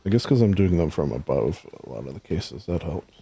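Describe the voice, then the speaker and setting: A low, gravelly man with a hoarse, worn voice, muttering half-finished thoughts as he builds in a game. His tone is dark and husky, and the close, dry recording keeps every mumble right at the ear. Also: expressive, brisk, dry recording. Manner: mumbling to himself, trailing off. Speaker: gaming streamer. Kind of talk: casual thinking-aloud game commentary.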